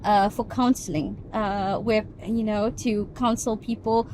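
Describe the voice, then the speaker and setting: A woman's voice: medium pitch, steady tone, a little mellow, low-quality recording. A middle-aged woman from South Africa, giving thoughtful answers as an expert guest in a casual interview and laughing often. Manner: thoughtful, laughs often, slows to stress points. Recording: background noise.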